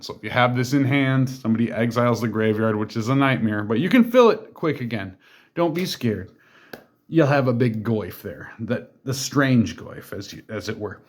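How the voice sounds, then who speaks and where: A man reviews a card game deck in a loose, conversational way. He reads each card's text aloud, then adds asides and fillers as he talks about it. His voice sits at an ordinary middle pitch. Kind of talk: casual deck walkthrough.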